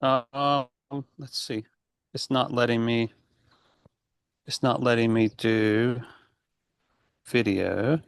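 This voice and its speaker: A man speaks hesitantly from his phone into a video call. He talks slowly, and his voice stays flat. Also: medium pitch, gravelly, clear, resonant tone. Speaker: guest joining by phone. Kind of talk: casual call talk.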